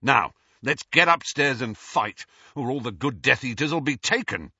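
A man's voice: mid-range voice, expressive, unhurried, sharp tone, slightly rough, no echo, highs cut off, pitched above the performer's own voice, exaggerated voice. A man voicing a cheeky, bold teenage lad in an audiobook, teasing and mocking.

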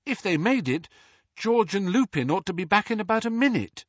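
A man voicing a teenage girl who explains things quickly and matter-of-factly in an audiobook reading. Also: high-pitched, big pitch swings, a bit bright, no echo, highs cut off, pitched above the performer's own voice, full character voice. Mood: urgent. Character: urgent, steady teenage girl witch.

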